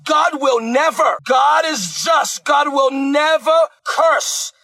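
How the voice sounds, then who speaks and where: A male preacher delivering a fiery sermon, shouting with fervent, animated energy and asking and answering his own questions. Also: very high voice, slow pace.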